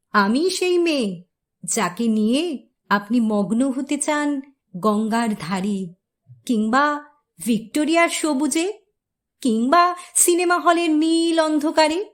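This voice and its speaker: A woman delivers a dramatic poetry recitation in a high-pitched voice. Her pace is slow and measured, with theatrical emphasis on key words. She sets phrases apart with deliberate pauses.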